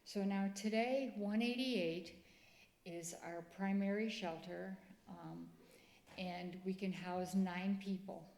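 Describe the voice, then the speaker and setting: Soft-spoken woman in an echoey church. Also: mid-range voice. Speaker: ministry speaker. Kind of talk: church presentation talk. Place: church sanctuary.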